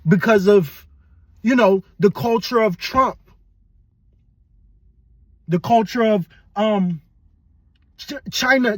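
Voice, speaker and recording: A young man with a high, husky voice talks through fight picks in a slow, unhurried drawl. He is blunt and profane and rambles with restarts. The sound is dry and close. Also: dry recording. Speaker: MMA podcast host. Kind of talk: casual fight predictions.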